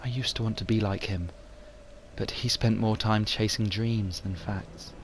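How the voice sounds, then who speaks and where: A man's voice: on the low side, fairly even intonation, unhurried, slight background noise. A man voicing a serious, sceptical young woman archaeologist in an amateur motion comic. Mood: earnest, sceptical.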